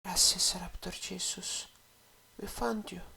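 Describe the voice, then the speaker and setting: A woman's voice: fairly low pitch, fairly even intonation, takes it slowly, vocal fry, pushed and bright, breathy edge, heavily acted. A woman playing a concerned, protective young woman in an audio drama. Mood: worried, relieved.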